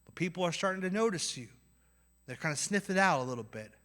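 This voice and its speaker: A man with a Midwestern accent preaching a sermon, acting out inner voices and swinging between loud and quiet. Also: high voice, fast. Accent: Midwestern American. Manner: animated, acts out inner voices with swings in loudness. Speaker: pastor.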